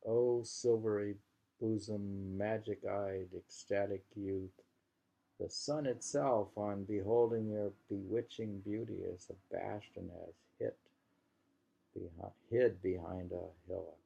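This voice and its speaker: Man, poetry reader, casual commentary, mellow tone, very slow pacing, reflective. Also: on the low side, fairly even intonation, gravelly, low-quality recording.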